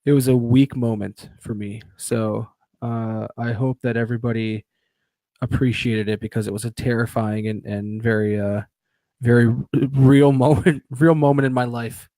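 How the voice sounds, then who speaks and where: A young man co-hosting a podcast live stream in casual chat, excitable, swearing freely and restarting his sentences. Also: mid-range voice, normal speed, no room sound. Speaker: podcast co-host.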